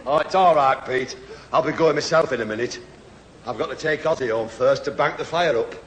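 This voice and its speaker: A man's voice: medium pitch, fairly quick, lightly acted. A man playing a matey, plain-spoken, grumbling neighbour in a radio sitcom. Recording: noisy old radio recording, audience laughter.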